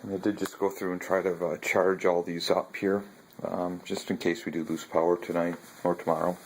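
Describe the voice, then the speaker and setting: A man walking through his home battery and radio setup in a casual vlog, talking haltingly with many ums and self-corrections. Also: sits low, little pitch movement, slight background noise, low-quality recording. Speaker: video blogger showing his ham radio setup. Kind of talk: casual walkthrough narration. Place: house, garage and basement.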